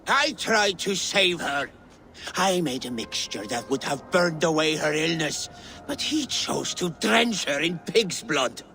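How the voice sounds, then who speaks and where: An actor playing a proud, bitter outcast warlock in a video game, measured and disdainful. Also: male voice, on the high side, lively intonation, vocal fry, pushed and bright, slight background noise, full character voice. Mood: bitter defence. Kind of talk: video game character acting.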